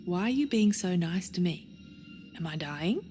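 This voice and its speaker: A woman's voice: lowish voice, lively intonation, normal speed, mellow, faint music behind, close to an everyday voice. An actress playing a skeptical, anxious woman crew member in cinematic game dialogue. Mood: wry, worried. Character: skeptical, anxious injured crewwoman. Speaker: video game character.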